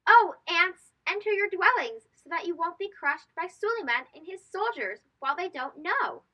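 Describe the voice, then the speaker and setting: A woman's voice: very high voice, expressive, normal speed, muffled recording, voice pushed up. A woman voicing a tiny alarmed storybook ant, urgently calling out a warning to its fellows.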